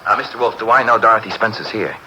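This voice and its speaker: An actor playing a breezy, sardonic young detective's assistant, trading flippant teasing lines in 1950s radio style. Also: male voice, fairly low pitch, fairly even intonation, racing, lightly acted. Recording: vintage 1950s radio broadcast, faint hiss.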